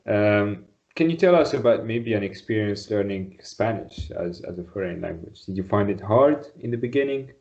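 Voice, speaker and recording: A man's voice: lowish voice, lively intonation, moderate pace, few pauses, a little mellow. A man from Tunisia tutoring a student one-on-one in an online lesson, patient and encouraging, often repeating his praise. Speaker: Arabic tutor. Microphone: video call. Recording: dull video call audio.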